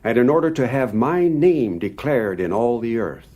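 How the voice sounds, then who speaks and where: God in a bible audio drama, deep-toned mellow voice swooping up and down, bossy. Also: male voice, very animated, normal speed. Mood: commanding. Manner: solemn, commanding pronouncements. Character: mighty boastful male god.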